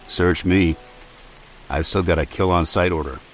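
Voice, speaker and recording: An actor playing a dry, disapproving outcast soldier with a sardonic, deadpan manner in a radio drama. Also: male voice, low voice, a little slow, faint music behind. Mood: gruff, serious. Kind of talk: old-time radio drama acting.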